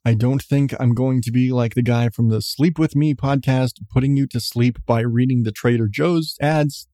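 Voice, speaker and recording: A middle-aged man hosting his podcast with casual, profane rambling and frequent laughs in a calm voice. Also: mid-range voice, moderate pace, no echo, clean studio sound. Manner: profane, candid, laughs often. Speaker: podcast host. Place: seated at home on a creaky chair.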